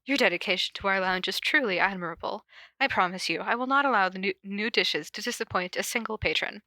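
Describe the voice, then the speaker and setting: Teasing, courteous young schemer voiced by a woman, bright and dry-recorded, storybook-style reading. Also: mid-range voice, quick pace, no echo. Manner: formal, smooth-worded, teasing politeness. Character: sly, mock-innocent young man, polite and teasing.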